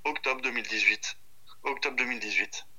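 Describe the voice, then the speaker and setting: A man's voice: medium pitch, brisk, crowd noise. A man in his thirties chatting casually on a phone call as a guest, hesitant and thinking aloud. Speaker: chef, podcast guest. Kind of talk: casual chat over the phone.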